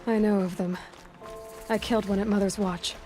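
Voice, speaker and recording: A confident young huntress, acted by a woman, speaks plainly with a wry edge and a medium-pitched voice. The delivery is naturalistic video game acting, with faint music behind it. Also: steady tone, normal speed, natural voice. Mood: assured, wry. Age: young adult. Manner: plain-spoken, self-assured.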